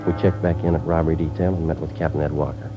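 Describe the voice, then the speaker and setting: A man playing a police sergeant who narrates a 1950s radio drama in a flat, clipped, matter-of-fact way. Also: rumbling low, quick pace. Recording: dull old radio recording with music.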